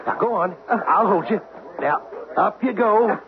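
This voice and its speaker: A man's voice: sits high, measured pace. A man playing a scheming, cocksure convict in an old-time radio drama, talking in a folksy, urgent, confident way. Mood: urgent, bossy. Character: scheming, cocksure male convict planning a prison breakout. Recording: dull, noisy old radio recording.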